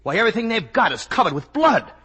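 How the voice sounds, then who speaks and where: An actor with an American accent playing an embittered, accusing young lawyer back from war in a 1950 radio drama. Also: male voice, sits high, very animated, quick pace, pushed and bright, slightly rough, heavily acted. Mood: accusing, intense.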